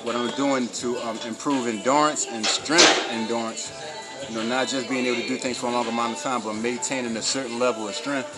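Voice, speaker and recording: A man's voice: medium pitch, fairly even intonation, fairly quick. Hyped gym trainer man over music. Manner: hyped, motivational, loose slangy talk. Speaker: fitness trainer. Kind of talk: casual workout vlog coaching. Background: music playing.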